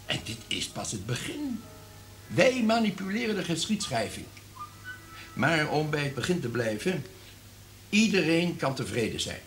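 Imperious scheming colonel, lively delivery. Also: male voice, on the high side, a little slow, pauses often, faint music behind, full character voice. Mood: grandiose. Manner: commanding, grandiose. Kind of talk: radio play acting.

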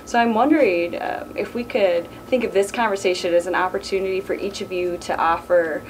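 A woman's voice: mid-range voice, steady tone, a little fast. A woman in her thirties moderating a roundtable discussion, phrasing things formally and carefully in a conference room. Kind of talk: measured panel moderation. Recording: distant mic, hissy room ambience.

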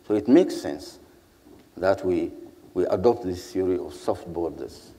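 An older man with a Sudanese accent speaking as a panelist, in a measured, deliberate way with earnest rhetorical repetition. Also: low-pitched, warm tone, slight background noise. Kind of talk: panel speech, earnest public address. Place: seated on a stage in a large hall. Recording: echoey hall with long reverb.

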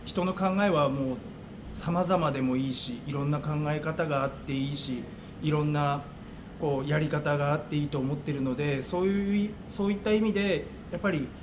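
Slightly high-voiced man over noisy ambience. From Japan. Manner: hesitant, thinking aloud with many pauses. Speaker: gym owner and martial arts coach. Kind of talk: interview answers. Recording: clearly noisy room ambience.

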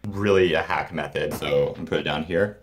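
A man with a low voice narrates a hands-on hobby video. He speaks informally and with enthusiasm, addressing viewers directly. It sounds like a homemade vlog recorded in a room at home. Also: brisk, a little hiss or hum. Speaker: DIY video maker, host. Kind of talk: casual hobby project vlog, talking to viewers. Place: indoors, small home room.

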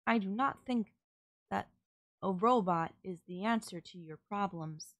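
Woman acting a slow, stilted servant robot girl. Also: mid-range voice, no room sound, natural voice. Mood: detached, apologetic. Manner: formal, stilted, halting, literal delivery. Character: polite, puzzled, emotionless female servant robot. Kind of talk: scripted audio roleplay, ASMR.

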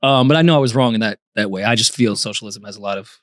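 A man in his thirties hosting a podcast, talking in a rambling, deadpan way in a studio. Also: on the low side, very fast, no echo. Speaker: podcast host. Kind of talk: casual banter.

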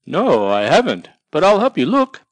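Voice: mid-range voice, huge pitch swings, normal speed, no room sound, pitched above the performer's own voice. A narrator voicing an eager, helpful little animal friend in a children's storybook, emphatic and keen.

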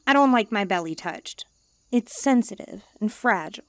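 A woman's voice: medium pitch, expressive, normal speed, fairly bright tone, dry recording, natural voice. A woman playing a haughty, needy young stray catgirl, blunt and cutesy, in an ASMR comfort roleplay. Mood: haughty, inviting.

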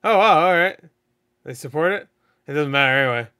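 Man in his 30s, reaction host on headset, slightly high voice, excitable and foul-mouthed, a bit hoarse. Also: a little fast, no echo, studio quality. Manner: excitable, swears a lot, laughs often. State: losing his voice. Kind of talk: casual reaction commentary. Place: home room, indoors.

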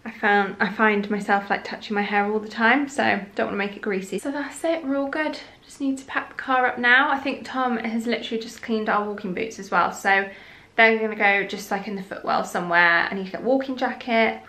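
A woman's voice: mid-range voice, fairly even intonation, fairly quick, pushed and bright, roomy sound. A woman in her thirties chatting casually to camera as a vlogger, upbeat and filling her speech with "like" and "um". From England. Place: bedroom at home, then inside a car. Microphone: camera held at arm's length or set nearby.

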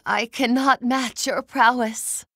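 A woman's voice: on the high side, steady tone, slow pace, fairly bright tone, dry recording, studio quality, full character voice. A woman voicing a gloomy, self-loathing young noblewoman in a video game, meek, apologetic and formal. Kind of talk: short battle voice clips.

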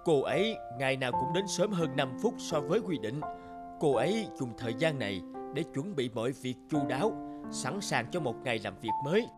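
A man voicing a stout, stern middle-aged company boss in an animated cartoon, speaking in a measured, lecturing way. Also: medium pitch, close to an everyday voice. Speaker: voice actor. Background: background music.

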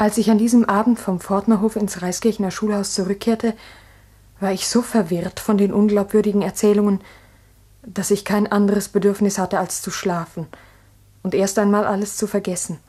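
An actress playing a lonely village schoolteacher, narrating in the first person in a 1960s radio play, earnest and introspective. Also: female voice, mid-range voice, fairly even intonation, normal speed, warm tone, husky, lightly acted. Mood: reflective. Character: lonely, brooding village schoolteacher recounting her night. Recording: 1960s mono radio recording.

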